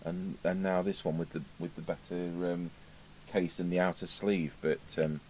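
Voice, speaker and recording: A middle-aged British man with a low voice talks through a hands-on technical demonstration. He muses aloud without a script, pausing as he works things out. The workshop recording carries clear background noise. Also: level tone, a little mellow, poor audio. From United Kingdom. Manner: unscripted, musing aloud, hesitant pauses. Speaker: radio repair technician. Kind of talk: informal hands-on workbench demonstration. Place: indoor radio repair workbench.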